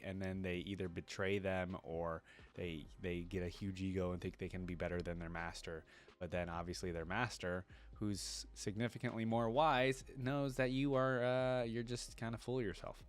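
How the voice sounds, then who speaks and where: Low-voiced man reacting and recapping casually. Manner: thinks aloud, recapping in loose everyday speech. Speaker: reaction video host. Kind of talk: casual reaction commentary mixed with reading a story aloud.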